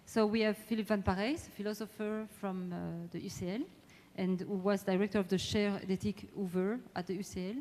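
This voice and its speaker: Mellow French-accented woman moderating in a hall. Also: medium pitch, fairly even intonation. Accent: French-speaking Belgian. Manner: halting, searching for words in non-native English. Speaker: debate moderator. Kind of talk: live panel introduction at a conference. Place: large indoor conference hall, amplified. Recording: long echo of a conference hall.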